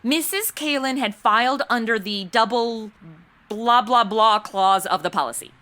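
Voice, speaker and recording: A woman's voice: a little high, a little slow, pushed and bright. An American woman playing a chatty, flippant modern rookie investigator in a comedy audio drama, breezy and casual.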